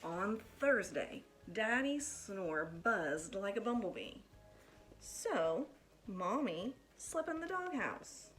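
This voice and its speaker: A woman reading a picture book aloud to children in an expressive, deliberate storytelling manner, like a teacher addressing pupils. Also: medium pitch, soft background music.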